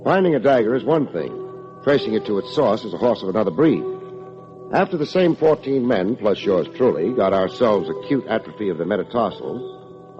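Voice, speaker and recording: An actor playing a wry, wordy hard-boiled private detective with dry sardonic delivery, in old-time radio drama style. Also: male voice, mid-range voice, natural voice. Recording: muffled old radio recording with music.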